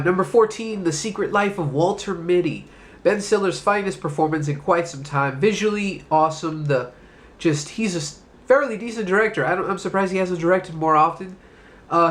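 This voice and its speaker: Sick guy w a stuffed nose talking movies, kinda high voice, rambly, swears a bit, coughs here and there. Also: fairly bright tone. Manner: loose, rambling, swears casually. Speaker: movie review host. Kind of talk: casual solo video review.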